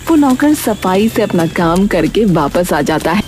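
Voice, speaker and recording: A woman narrating a cartoon story in a plain, steady way. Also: mid-range voice, a little slow, soft background music.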